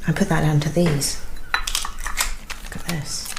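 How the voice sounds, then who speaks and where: A grieving, secretive young wife in an audio thriller. Her voice sits a little low, hushed and confiding, with halting phrases. A faint noise runs behind her. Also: level tone, vocal fry, full character voice. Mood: agitated, confiding. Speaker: actor in an audio drama. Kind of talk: naturalistic radio thriller acting.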